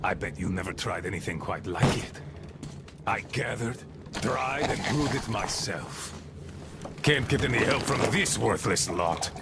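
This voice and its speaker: An actor playing a bitter, deranged old admiral in a video game, rambling scornfully with a gravelly, creaking voice. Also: male voice, fairly low pitch, animated, unhurried, heavy background noise. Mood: gruff hospitality. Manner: rambling, scornful, swinging from muttering to shouting. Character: bitter, deranged old admiral holding court over tea. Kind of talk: dramatic video game acting.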